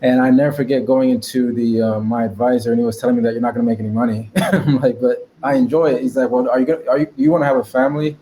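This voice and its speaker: Male American podcast guest, mellow dark tone, mid pitch, casual storytelling, slight background noise. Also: fairly even intonation, fast talker, rough recording. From Connecticut, United States. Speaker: podcast guest, cybersecurity professional.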